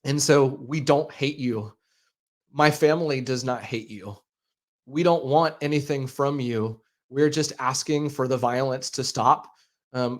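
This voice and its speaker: An American man in his thirties hosting a livestream, making an earnest, halting appeal to viewers with many fillers and repeated words. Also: mid-range voice. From United States. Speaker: livestream host. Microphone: speaking into a microphone.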